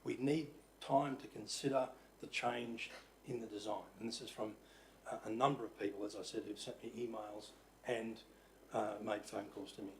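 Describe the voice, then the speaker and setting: Croaky Australian man, mayor chairing a council meeting, low voice, hesitant with fillers, faint room hum. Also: steady tone, normal speed, rough recording. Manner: hesitant, many fillers, polite. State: croaky voice after illness. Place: council chamber.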